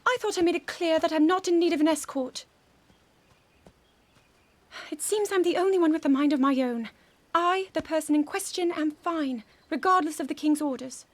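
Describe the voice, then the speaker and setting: Woman voicing a proud young princess, high-pitched, determined, game cutscene. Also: moderate pace, long pauses, lightly acted. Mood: irritated. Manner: clipped, cool and determined. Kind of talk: video game cutscene acting.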